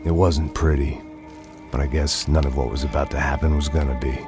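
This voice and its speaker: A man playing a weary, cynical middle-aged American ex-cop in a video game, speaking in a low, gruff, terse way. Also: steady tone, a little fast, over loud music. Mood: grim, sardonic. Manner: world-weary, terse, hard-boiled. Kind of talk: video game cutscene dialogue and noir voice-over.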